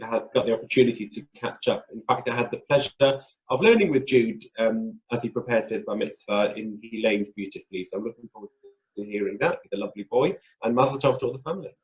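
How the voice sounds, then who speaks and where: A man with a medium-pitched voice gives informal congratulations in the manner of a community teacher. The audio is somewhat dull and lo-fi. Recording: dull lo-fi video call audio.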